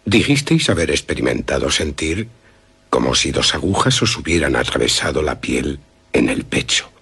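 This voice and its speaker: A grave old doctor questions formally in a radio play. His voice is extremely low and very husky, and he weighs his words with care. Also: sing-song, normal speed, fairly bright tone, exaggerated voice. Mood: probing. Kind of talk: radio drama acting.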